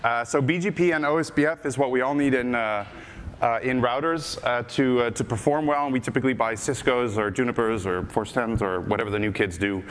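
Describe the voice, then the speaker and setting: A man's voice: mid-range voice, fairly quick. Middle-aged Dutch man with American-sounding accent, casual conference speaker, filler-heavy delivery, long hall reverb, faint noise. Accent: American-sounding English. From Netherlands. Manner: casual, joking, many filler uh's and restarts. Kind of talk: technical conference talk. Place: large conference stage.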